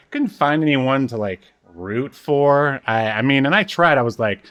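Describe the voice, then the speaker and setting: A man's voice: mid-range voice, expressive, unhurried, a bit bright, lo-fi sound. A man co-hosting a casual podcast, chatting in a rambling, joking and self-deprecating way. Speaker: podcast co-host.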